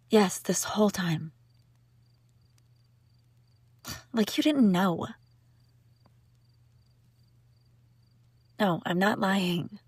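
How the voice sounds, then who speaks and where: A woman playing a sharp-tongued young princess bride who is secretly lovesick, in an intimate audio roleplay, teasing and indignant with sighs and broken-off phrases. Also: medium pitch, takes it slowly, husky, no room sound. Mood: bitter, vulnerable. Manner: teasing, indignant, sighing, breaking off mid-sentence. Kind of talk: intimate scripted audio roleplay addressed to listener.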